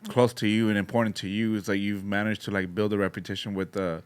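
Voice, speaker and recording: A man's voice: lowish voice, flat delivery, fast, clean tone. A man hosting a podcast, asking rambling questions with many restarts and fillers. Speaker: podcast host. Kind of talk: casual interview chat.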